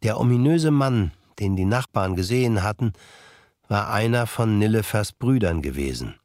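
A man's voice: lowish voice, expressive, moderate pace, a little mellow, no echo, studio quality. A man narrating an audio drama from a script. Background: music.

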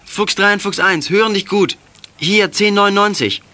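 A man's voice: high-pitched, moderate pace, noisy background, lightly acted. A male actor playing a cheeky, wry boy detective in a German children's radio play. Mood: alert.

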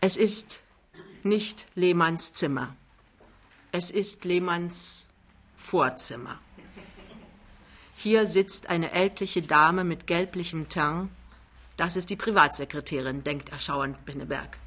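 A woman's voice: fairly low pitch, takes it slowly, pauses often, fairly bright tone. A woman reading a novel aloud as narrator, in scripted literary prose. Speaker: narrator, reader.

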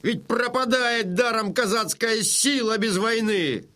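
An eager Cossack in the crowd in an audio drama. His voice is extremely high and bright, with drawn-out, clamouring delivery. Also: heavily acted. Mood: urging. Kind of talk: Russian audio drama acting.

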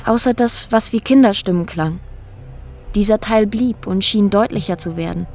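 An actress playing a woman who recounts a strange, disturbing ordeal in a reflective, halting, matter-of-fact radio drama monologue. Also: mid-range voice, a little mellow, natural voice. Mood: absorbed, subdued. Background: music under the voice.

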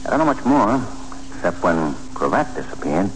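An actor playing a shrewd, folksy police detective with wry, slangy talk in a 1940s radio drama. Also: male voice, low-pitched, big pitch swings, normal speed. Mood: wry, knowing. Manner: slangy, wry, clipped phrasing. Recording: humming, noisy 1940s radio recording.

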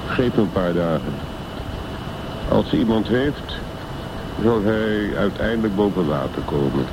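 An actor playing a worldly old private detective in a radio drama, deliberate, laconic and sardonic. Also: male voice, sits low. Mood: dry, laconic. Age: older. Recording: clear background noise.

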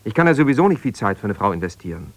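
An actor playing a wounded, self-justifying husband in a naturalistic radio drama, talking in a hesitant, searching, conversational way. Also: male voice, on the low side, sing-song, racing, creaky, dry recording, heavily acted. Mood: earnest, searching. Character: defensive, wounded husband pouring out his troubles to a confidante. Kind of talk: naturalistic 1970s German radio drama monologue.

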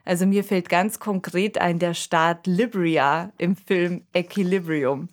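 A woman's voice: fairly low pitch, fairly even intonation, measured pace. A woman hosting a conversational podcast discussion, explaining things in an informal way. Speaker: podcast host.

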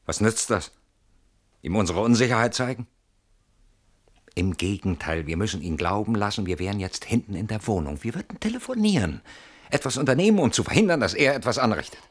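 Deep, husky, anxious husband. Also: male voice, expressive, a little fast. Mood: agitated, suspicious. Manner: agitated, brooding, argumentative. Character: anxious, stubborn husband besieged in his flat, brooding and suspicious. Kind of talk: German radio play acting.

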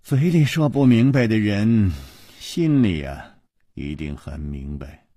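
A man's voice: fairly low pitch, expressive, slow, warm tone, no room sound, studio quality, pitched above the performer's own voice. A man voicing a cool, sardonic young princess in a storybook, sneering and teasing with pointed words. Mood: needling. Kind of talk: Chinese wuxia storybook character voice.

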